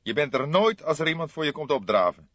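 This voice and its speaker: A man voicing a grumpy, reproachful police desk sergeant in an audiobook, loud and emphatic. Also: fairly high pitch, sing-song, quick pace, husky, no room sound, muffled recording, pitched above the performer's own voice, heavily acted. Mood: peevish.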